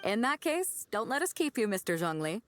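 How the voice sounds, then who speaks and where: A woman's voice: a little high, sing-song, moderate pace, a little hiss or hum. An actress voicing a bold, generous woman ship captain in a video game, breezy, confident and casual. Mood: hearty, generous. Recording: background music under dialogue.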